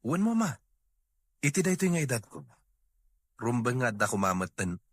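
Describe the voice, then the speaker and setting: A man playing a frustrated, dutiful husband longing for children in an audio drama, earnest and complaining, with an Ilocano accent and a husky voice. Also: mid-range voice, sing-song, moderate pace, no echo, clean studio sound. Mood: regretful.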